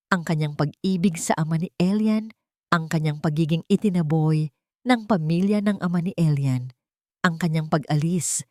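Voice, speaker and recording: A woman's voice: lowish voice, no room sound, clean studio sound. A woman narrating a scripted dramatic story.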